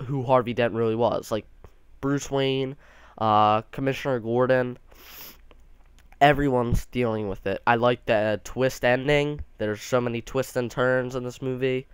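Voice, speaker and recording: A man's voice: mid-range voice, fairly even intonation, unhurried, smooth, dry recording. A young man giving a casual, rambling movie review, sounding a bit nasal from allergies, with many restarts.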